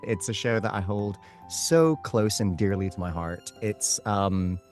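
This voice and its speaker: A man's voice: fairly low pitch, unhurried, darkish tone, faint music behind. A man chatting casually as an interview guest, effusive and enthusiastic in how he talks. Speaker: guest, voice and screen actor. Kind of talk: casual chat and anecdote.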